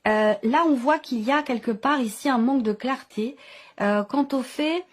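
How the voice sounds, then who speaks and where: A woman's voice: sits high, normal speed. A woman giving an improvised tarot reading to viewers, explaining as she goes and often rephrasing as she searches for words. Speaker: tarot reader and channel host.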